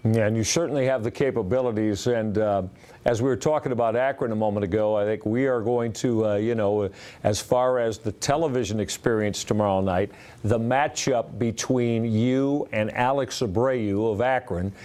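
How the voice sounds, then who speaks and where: An American man hosting a sports interview, asking long, winding questions in a halting, conversational way. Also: lowish voice, darkish tone. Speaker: sports show host interviewing a guest.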